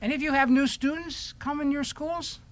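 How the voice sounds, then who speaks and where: A man's voice: very high voice, steady tone, fairly quick, fairly bright tone. A man leading a children's time at church, asking simple questions, repeating answers and reading short pieces aloud. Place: church service.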